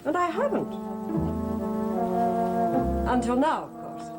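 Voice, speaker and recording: A worldly stage actress in an old gothic soap. Her highish voice swoops theatrically and takes its time, with loud music underneath. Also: sing-song, slow, full character voice. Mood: wry, wistful. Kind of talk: 1960s-70s gothic TV soap acting.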